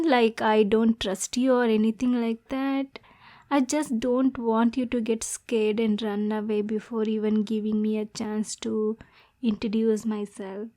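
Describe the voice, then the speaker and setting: A woman's voice: fairly high pitch, a little mellow. A woman playing a lonely, anxious, lovesick demon girl in an ASMR roleplay, stammering and pleading with coaxing endearments. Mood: nervous, awkward.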